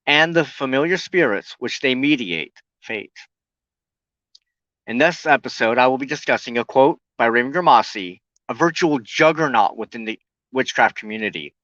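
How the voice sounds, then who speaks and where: Guy hosting a show solo, sharp bright voice, reads his notes kinda haltingly, dry sound no room. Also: medium pitch, no echo, dull audio. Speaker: host of a weekly occult broadcast. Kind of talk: scripted monologue, partly read aloud.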